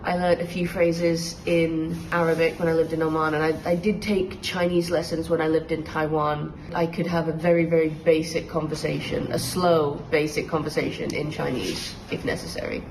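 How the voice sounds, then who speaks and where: A woman with a lowish voice talks in a chatty, self-deprecating way. She is recorded indoors, and steady room noise sits behind her voice. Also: moderate pace, few pauses. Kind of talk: interview answer.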